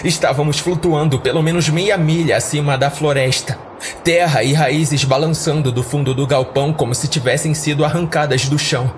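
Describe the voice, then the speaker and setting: A man's voice: on the high side, fairly even intonation, normal speed, a little hiss or hum. A man narrating a scripted horror story in a dramatic audiodrama style, as a podcast storyteller.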